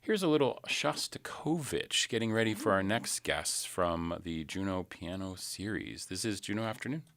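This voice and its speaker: A man's voice: low-pitched, lively intonation, normal speed, few pauses, a bit bright, slight background noise. A man hosting a public radio show in a studio, chatting with his guests in a casual, friendly way with the odd filler. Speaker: radio host.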